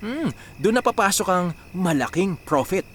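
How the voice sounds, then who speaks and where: Radio drama: driven Filipino strategist, confident explainer, fairly high voice, faint noise behind. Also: male voice, sing-song, moderate pace. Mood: confident, persuasive. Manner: explanatory, peppered with English business phrases and checking words. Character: confident, driven young male business strategist pitching a plan.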